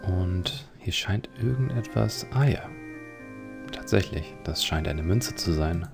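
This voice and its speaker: A man with a very low, gravelly voice commentates on a game. He muses casually and unhurriedly, with clear music playing behind him. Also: expressive, slow. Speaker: let's player.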